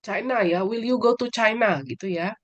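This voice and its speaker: A woman's voice: mid-range voice, fairly quick, muffled recording. A woman leading an online English class, asking students questions in an encouraging way and slipping in fillers. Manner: encouraging, mixes in Indonesian fillers. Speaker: English teacher.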